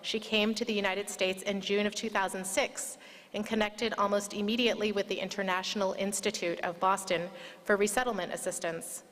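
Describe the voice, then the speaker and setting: A woman's voice: mid-range voice, steady tone, a little fast, fairly bright tone. A woman introducing guests at an event in a formal, prepared speech. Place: large indoor hall, public event. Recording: echoey hall with long reverb.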